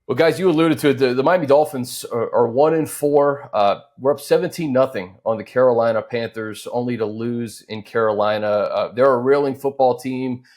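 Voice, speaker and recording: Male sports host, medium-pitched voice. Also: moderate pace, few pauses, darkish tone. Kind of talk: conversational hosting.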